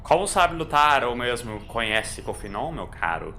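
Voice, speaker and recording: A man voicing a courteous older time-travelling alien gentleman, inquisitive in manner, in an audio story. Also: mid-range voice, sing-song, moderate pace, slight background noise. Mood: curious, courteous.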